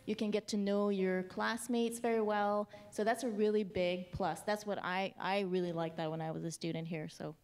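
A woman's voice: mid-range voice, fairly even intonation, moderate pace, few pauses, darkish tone. A woman moderating a student panel in a university lecture hall on a handheld mic, upbeat and chatty, laughing often.

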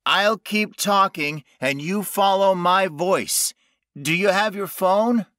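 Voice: mid-range voice, lively intonation, a little slow, bright, forceful tone, no echo, clean studio sound, voice pushed up. A narrator voicing a trapped young man in an audiobook story, calling out urgently as if from a distance. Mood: urgent, guiding.

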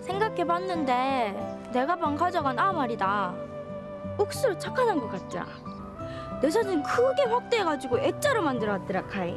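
A woman's voice: sits high, sing-song, normal speed. An actress playing a stubborn, trusting country girl with a Gyeongsang accent in a children's TV drama, earnest and plain-spoken. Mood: excited. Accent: Gyeongsang Korean. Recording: loud music behind.

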